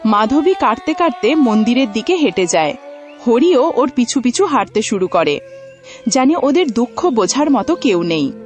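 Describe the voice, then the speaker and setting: Female storybook narrator, highish and animated, music bed. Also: moderate pace.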